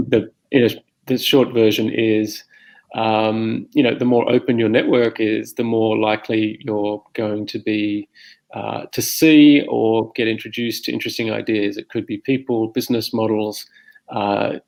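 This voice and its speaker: Middle aged aussie guy hosting a podcast, low flat voice, bit gravelly, chatty and rambling. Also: measured pace, low-quality recording. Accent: Australian. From Armidale, New South Wales, Australia. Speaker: podcast host. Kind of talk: unscripted conversational introduction.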